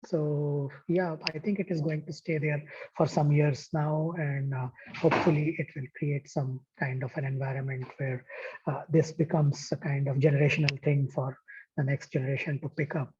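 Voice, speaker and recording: Halting man on muffled distant call audio. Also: fairly high pitch, little pitch movement, noisy background. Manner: halting, frequent "uh" fillers. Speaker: workshop participant. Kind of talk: online workshop discussion.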